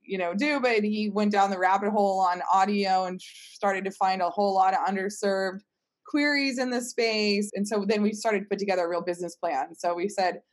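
A woman talking casually in an interview as a startup team member, retelling things informally. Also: mid-range voice. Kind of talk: casual conversational explanation.

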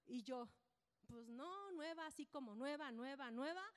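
A woman gives a personal testimony to a congregation, telling it as an animated, colloquial story with repeated phrases and acted-out dialogue. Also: sits high, measured pace. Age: 50s. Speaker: church speaker giving testimony. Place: large indoor hall, speaking to an audience. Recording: church hall with long reverb.

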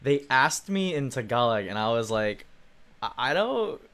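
A man's voice: mid-range voice, big pitch swings, measured pace, fairly bright tone. A laid-back young man chatting casually in an easygoing way. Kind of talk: casual chat.

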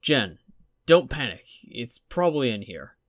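A man voicing a calm, reassuring young man. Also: mid-range voice, measured pace, dry recording, clean studio sound, pitched above the performer's own voice.

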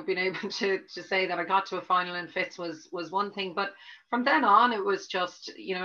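A woman's voice: lowish voice, pushed and bright. A middle-aged Dublin woman, a tennis coach, answering interview questions in a chatty, rambling way full of restarts. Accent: Dublin Irish. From Ireland. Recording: dull lo-fi audio.

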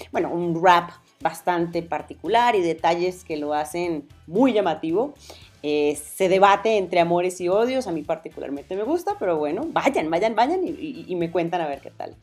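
Colombian-born woman podcast host, lower voice, rambly sign-off, faint music under. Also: darkish tone. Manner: chatty, rambling, self-deprecating. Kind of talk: solo outro to camera.

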